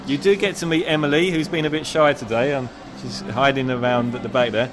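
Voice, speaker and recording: A man's voice: medium pitch, steady tone, a little fast. A man managing a bookshop, talking politely and a little hesitantly in a casual interview. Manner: polite, slightly hesitant with fillers. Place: busy shopping centre store. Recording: noisy public space.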